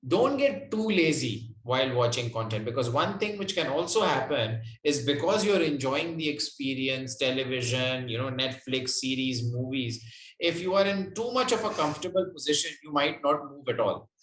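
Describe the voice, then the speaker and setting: A man's voice: mid-range voice, expressive, moderate pace, a bit bright, a little hiss or hum. A man answering interview questions as a guest, explaining conversationally and often checking in with the listener. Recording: roomy, echoey sound.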